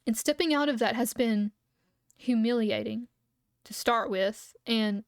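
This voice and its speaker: A man's voice: very high voice, measured pace, creaky, clean tone, dry recording, clean studio sound, heavily acted. Woman reading as a bewildered young researcher. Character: rattled young male researcher, disoriented and earnest.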